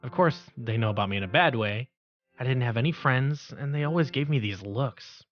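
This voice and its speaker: A man's voice: medium pitch, expressive, fairly quick, fairly bright tone, muffled recording, lightly acted. A man voicing an introverted, resigned teenage schoolboy in an anime-style dub, mild and hesitant. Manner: mild, hesitant, stammers when flustered. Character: introverted teenage schoolboy narrator, secretly capable, meek and resigned. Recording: background music under voice.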